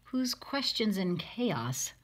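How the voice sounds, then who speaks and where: A woman's voice: mid-range voice, very animated, takes it slowly. A woman reading a rhyming children's picture book aloud, expressively, with dramatic emphasis. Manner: dramatic emphasis, acting out lines. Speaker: storybook reader.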